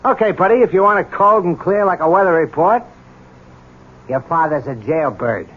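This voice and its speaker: An actor playing a lazy, insolent, spiteful business partner, brash, slangy and sarcastic, in a 1970s radio drama. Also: male voice, fairly high pitch, animated, moderate pace, husky. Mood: accusing, spiteful. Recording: dull, noisy old radio broadcast recording.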